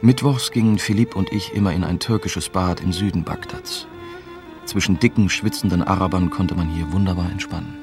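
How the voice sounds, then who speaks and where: An actor playing a young, wry German reporter, narrating a radio drama in a dry, matter-of-fact way. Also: male voice, sits low. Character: young, wry male German newspaper reporter recounting his story. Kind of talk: scripted first-person radio drama narration.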